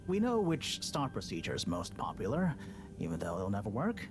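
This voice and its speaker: An actress playing a stubborn researcher who argues defensively for a failed model. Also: female voice, a bass voice, huge pitch swings, moderate pace, over music, full character voice. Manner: defensive and argumentative.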